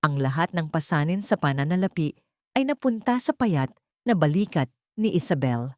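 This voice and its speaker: Low-voiced Filipino woman narrating a drama. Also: expressive, normal speed, a little mellow, no room sound, studio quality. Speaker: audiobook narrator. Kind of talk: scripted dramatic story narration.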